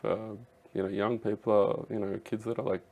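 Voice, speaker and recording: An earnest man in his thirties, raised in Canada, speaks with a fairly low voice. He is a guest in a casual podcast chat and pads his sentences with plenty of "you know" and "um". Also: flat delivery, a little fast, vocal fry, warm tone, poor audio. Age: 30s.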